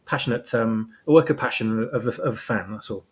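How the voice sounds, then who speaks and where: A man with an average-pitched voice talks as a guest author. He explains things casually and tentatively, softening each statement as he goes. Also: brisk. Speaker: guest fan-fiction author.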